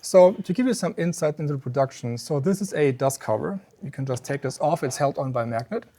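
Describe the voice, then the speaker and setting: A man giving an informal technical explanation of a product as a company representative, measured and explanatory, pausing between phrases. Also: on the high side, expressive, warm tone. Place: exhibit room at an audio trade show.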